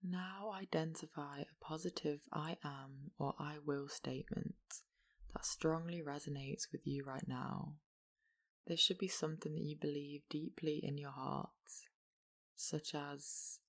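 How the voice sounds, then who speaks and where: A meditation guide gently and slowly leading a yoga relaxation practice, leaving long pauses between instructions. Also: medium pitch, gravelly.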